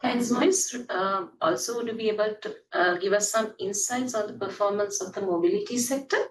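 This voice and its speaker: A woman's voice: medium pitch, fairly quick. Sri woman moderating, faintly noisy distant mic. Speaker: call moderator. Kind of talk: polite scripted questioning.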